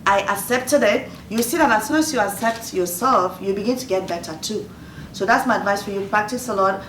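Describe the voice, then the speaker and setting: A woman's voice: mid-range voice, brisk, fairly bright tone. Nigerian woman in her fifties, an earnest host who repeats key phrases, recorded in a roomy space with the mic set well back. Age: 50s. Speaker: host giving advice. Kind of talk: motivational Q&A talk. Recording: roomy distant mic, faint noise.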